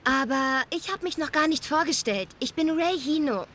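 A woman playing a self-assured, courteous teenage schoolgirl in an animated series dub. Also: high-pitched, moderate pace, slight background noise. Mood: confident.

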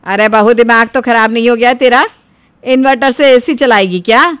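A woman voicing a scolding, sarcastic elderly mother-in-law in an animated family story, with a nagging, taunting manner. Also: fairly high pitch, moderate pace, clear, resonant tone, no echo, close to an everyday voice. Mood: alarmed.

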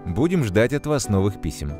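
A man voicing a calm adult scientist who explains things to children in a measured, earnest way. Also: fairly low pitch, faint music behind. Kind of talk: scripted educational narration.

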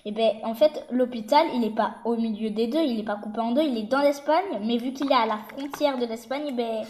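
A woman voicing an excitable, slangy young reality-show contestant who rambles and repeats herself insistently in a toy story. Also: on the high side, a little fast, close to an everyday voice. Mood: explaining insistently. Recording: echoey, noisy homemade recording with clicks.

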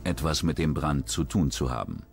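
Male doc narrator, deep, lively intonation, measured and sober; clear music bed under the voice. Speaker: documentary narrator. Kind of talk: scripted documentary narration.

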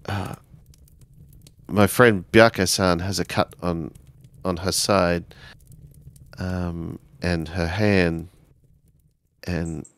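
A man narrating his character's actions in a tabletop role-playing game, halting as he searches for words. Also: deep voice, no room sound.

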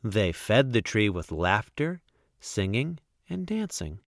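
A man reading a children's picture book aloud as a guest storyteller, expressively and with deliberate, emphatic phrasing. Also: fairly low pitch, sing-song, fairly bright tone, no room sound, clean studio sound.

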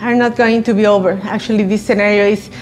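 A woman, an education officer speaking deliberately, with hesitations, in a documentary interview. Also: mid-range voice, fairly even intonation. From Mexico. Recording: faint music under voice. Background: faint music.

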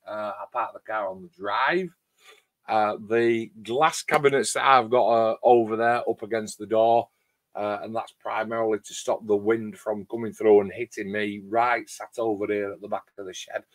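A middle-aged man with a loud voice, chatting casually and in a rambling way as host of a hobby livestream. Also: on the low side, no room sound. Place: home garage workshop shed.

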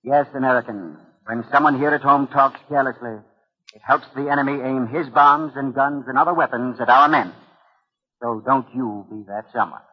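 A dull, lo-fi vintage broadcast of a man introducing radio drama. His voice is mid-pitched, and he narrates in formal, scripted 1940s style. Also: normal speed, slightly rough. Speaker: host of a radio mystery series.

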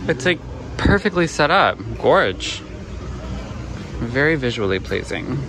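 A man's voice: mid-range voice, big pitch swings, takes it slowly, noisy background. A man in his thirties vlogging a family trip outdoors, talking in a gushing, playful, chatty way into a handheld camera. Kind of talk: casual travel vlog. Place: outdoors at a sunny cruise port.

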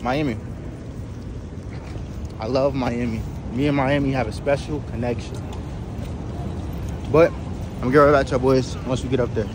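A young man hosting his own vlog outdoors on a city pier, talking in excitable, slangy casual chat and laughing often. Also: mid-range voice. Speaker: vlogger host. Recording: noisy outdoor city street.

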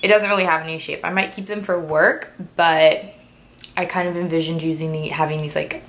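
A woman's voice: sits low, steady tone, brisk, a bit bright, rough recording. A woman in her twenties chatting casually through a clothing try-on haul, a little stuffy from a cold and full of "like" and "um" fillers. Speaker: fashion vlogger.

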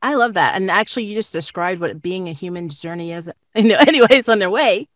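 A woman's voice: medium pitch, expressive, fairly quick, a bit bright, dry recording, clean studio sound. A woman conducting an enthusiastic, effusive interview over a video call.

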